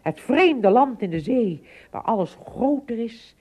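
A woman narrating a scripted story in an expressive, measured storytelling manner. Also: fairly high pitch.

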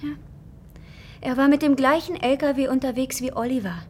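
A woman's voice: high voice, normal speed, darkish tone, slight background noise, natural voice. An actress playing a young mother, polite and resolute under worry, in a naturalistic radio drama. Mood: worried. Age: young adult.

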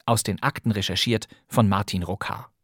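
Calm man hosting a crime podcast. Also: fairly low pitch, moderate pace, dry recording, studio quality. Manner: calm, informative announcing. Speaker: podcast host. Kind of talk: podcast narration.

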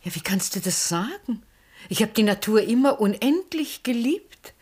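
A vivacious austrian ex-diva, middle-aged, teasing and effusive, really swoopy voice, old radio play. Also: female voice, mid-range voice, big pitch swings, moderate pace, darkish tone, breathy edge. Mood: nostalgic, animated. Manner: theatrical, effusive, often breaking into laughter. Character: vivacious middle-aged former actress, teasing, sentimental, frank. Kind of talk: 1960s German-language radio drama acting.

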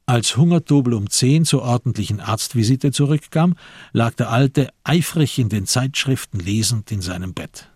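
A man's voice: medium pitch, expressive, normal speed, darkish tone, breathy edge, dry recording, studio quality. A man, reading scripted literary narration for a radio drama.

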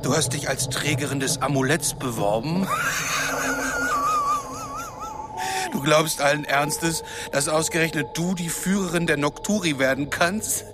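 A man's voice: fairly high pitch, normal speed, loud music behind the voice, lightly acted. An actor playing a gloating, sadistic villain in a radio drama, mocking and theatrical as he savours his words. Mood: mocking glee. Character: gloating, sadistic male villain toying with captives. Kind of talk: German radio drama acting.

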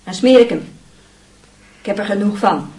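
An actress playing a defiant, scornful young housemaid in a temper, in radio drama style. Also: female voice, mid-range voice, animated, normal speed, dark tone, echoey room, close to an everyday voice, poor audio. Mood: indignant. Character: brash, indignant young Dutch housemaid quitting in a temper.

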